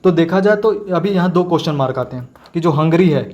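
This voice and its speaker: A man's voice: a little high, expressive, fast talker, a little mellow, dull audio. A man giving an informal explanatory lecture as a teacher, often adding check-in tags and mixing in English terms. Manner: frequent check-in tag phrases, mixes in English terms. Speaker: exam-prep teacher.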